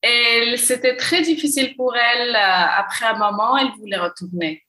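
A young Moroccan woman answering interview questions, often pausing and restarting as she searches for words. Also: a little high, bright, forceful tone, roomy sound. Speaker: podcast guest, gender equality consultant.

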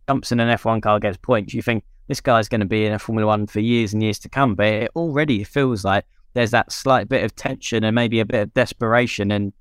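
A young man talks casually as a podcast co-host, drifting through his points with plenty of "you know"s. His voice sits a touch low and comes across smooth and clear. The recording is dry, with no room sound behind him. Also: dry recording. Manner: conversational, many "you know" fillers. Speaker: podcast co-host, former racing driver. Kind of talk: casual chat.

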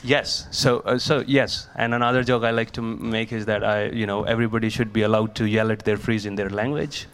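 Low-voiced man explaining tech. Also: steady tone, brisk, few pauses, a bit bright. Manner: technical, explanatory, often restarts sentences. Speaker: startup founder. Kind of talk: interview answers.

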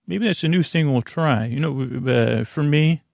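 A man hosting a bedtime podcast in a creaky, lulling voice, meandering gently through halting, self-interrupting tangents. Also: a little high, no room sound. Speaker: podcast host. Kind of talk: rambling, soothing bedtime monologue.

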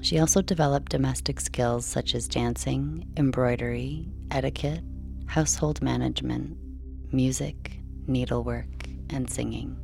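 A woman reading a scripted bedtime story in a slow, measured, soothing delivery. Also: very deep voice, steady tone, creaky. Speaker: sleep story narrator. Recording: soft music behind.

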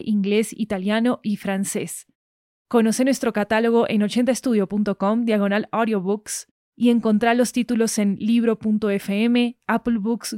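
An Argentine woman gives a scripted announcement. She speaks briskly and clearly in a friendly tone. The sound is close and dry. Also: medium pitch, warm tone, dry recording. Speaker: podcast host and narrator.

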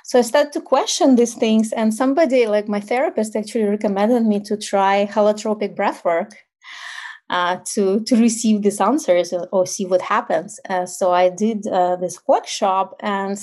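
A woman in her forties from Ukraine with a Ukrainian accent, telling her story as a podcast guest in a reflective way with soft laughs and fillers. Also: medium pitch, fairly even intonation, moderate pace. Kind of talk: casual interview storytelling.

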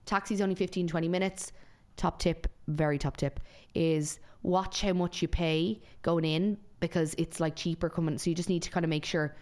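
A woman's voice: fairly low pitch, fairly even intonation, normal speed, few pauses, darkish tone. A young woman co-hosting a podcast, chatting and eagerly giving travel tips. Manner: chatty, gushing travel recommendations. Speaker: podcast co-host. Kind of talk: casual podcast chat.

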